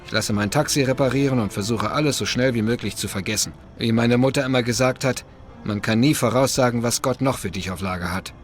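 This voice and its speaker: A man's voice: mid-range voice, a little fast, lightly acted. An actor playing a modest, wary male taxi driver in a video game, polite and plain-spoken. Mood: reflective. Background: music.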